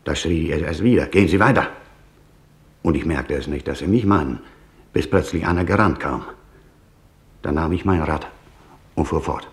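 Very deep, sombre eyewitness. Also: male voice, moderate pace, pauses often. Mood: haunted, subdued. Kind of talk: German radio drama monologue.

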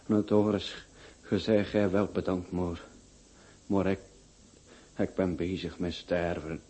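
A man's voice: low voice, fairly even intonation, takes it slowly, dark tone. An actor with a Flemish accent playing a poor, timid man dying in a hospital, who stammers in weary dialect. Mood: weary, glum. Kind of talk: radio drama acting.

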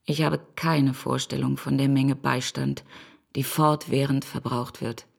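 Low, creaky, pensive woman's voice. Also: level tone, full character voice. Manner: deliberate, contemplative. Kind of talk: literary monologue in a radio play.

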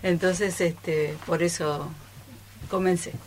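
Slightly low-voiced woman. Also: steady tone, slow, slight background noise. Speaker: radio theatre troupe member. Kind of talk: informal live radio chat. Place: radio studio.

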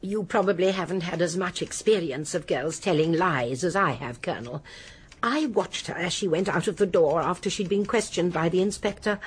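An actress playing a shrewd, genteel elderly English spinster sleuth in a radio drama, precise and politely firm. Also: female voice, lowish voice, moderate pace, slightly rough. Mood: knowing, brisk. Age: older. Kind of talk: radio drama acting and narration.

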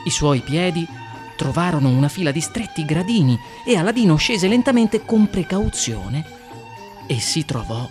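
A man narrates a fairy tale as a storyteller, with a very lively, swooping delivery. Music plays softly behind his voice as he reads. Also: high-pitched. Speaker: guest reader of fairy tales.

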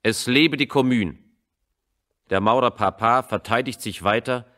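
A man narrating a radio drama from a script in a sober, measured delivery. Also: mid-range voice, bright, forceful tone.

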